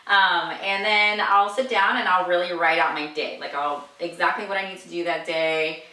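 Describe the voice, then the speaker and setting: A woman's voice: on the low side, steady tone, moderate pace, pushed and bright. A woman guest chatting casually about her daily routine in an upbeat, rambling way with lots of ums. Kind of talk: casual interview chat. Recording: echoey room, distant mic.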